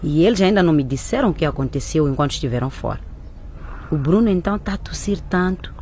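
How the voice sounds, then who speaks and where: Low-voiced worried mother. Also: expressive, fast talker, mellow, slight background noise. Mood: worried, weary. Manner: earnest, motherly, questioning. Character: worried, hard-pressed mother and wife, caring but probing. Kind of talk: radio drama acting.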